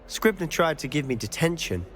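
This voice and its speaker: A man's voice: on the high side, sing-song, normal speed, a bit bright, a little hiss or hum. A young man playing a confident, secretive student in a game cutscene, eager and conspiratorial. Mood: confiding.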